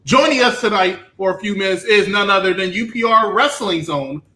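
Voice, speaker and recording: A man with a high, bright voice hosting a casual review show. He presents like a teasing announcer. The recording is roomy and echoey. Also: brisk. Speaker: podcast host. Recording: roomy echo with faint noise.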